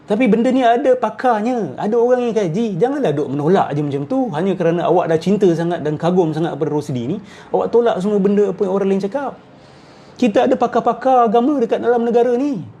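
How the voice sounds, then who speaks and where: Middle-aged man, high pitch, soft dark timbre, combative religious commentary, repetitive rhetorical questions. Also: fast talker. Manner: confrontational, repeats phrases and fires rhetorical questions. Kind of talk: argumentative religious commentary, off the cuff.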